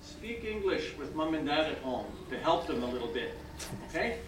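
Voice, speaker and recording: A man's voice: on the high side, big pitch swings, normal speed. An older man from Canada whose first language is French, delivering an encouraging speech to a room full of new citizens. He keeps checking in with his listeners. The sound is roomy and picked up from a distance, with noise behind him. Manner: encouraging, repeatedly checks in with "okay?". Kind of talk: ceremonial speech. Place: large hall with an audience. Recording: echoey hall, mic far off, noisy.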